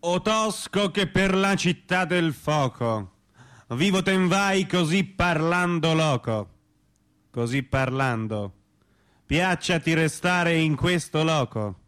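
An actor playing a solemn spirit who declaims old epic verse in a theatrical manner. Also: male voice, on the high side, expressive, slow pace, fairly bright tone, clean tone. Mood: solemn, declamatory.

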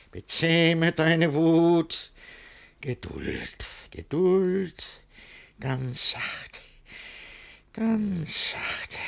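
An actor playing a jealous, pompous, panicky middle-aged master in a theatrical verse comedy for radio, raspy and breathless, swinging between muttering and bellowing. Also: male voice, lowish voice, a bit bright, exaggerated voice. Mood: struggling for calm.

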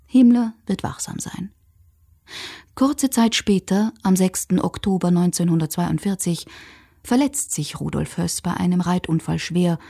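A woman narrating a scripted radio documentary in a serious, measured manner. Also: on the low side, vocal fry, dark tone, slightly rough, no room sound, studio quality.

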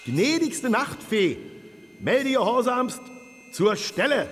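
An actor playing a snobbish, monocled frost giant in a children's radio play, speaking in a clipped, haughty military drawl. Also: male voice, very high-pitched, very animated, slow, in a large hall, heavy background noise, heavily acted. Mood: pompous, self-satisfied.

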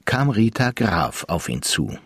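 A man giving measured, matter-of-fact scripted narration in a radio crime play. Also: on the low side, big pitch swings, creaky, a bit bright, husky, no room sound. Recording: studio radio play with music.

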